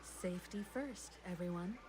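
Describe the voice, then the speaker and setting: A woman voicing a composed, practical team leader in a video game, calm and businesslike. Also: fairly low pitch, normal speed, natural voice. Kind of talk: game character voice acting. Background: music.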